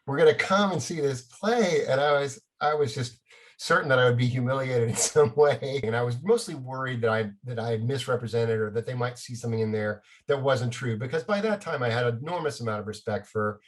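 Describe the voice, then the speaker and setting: Man casually reminiscing, medium-pitched voice. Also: brisk. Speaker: playwright. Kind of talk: casual reminiscing in a group conversation.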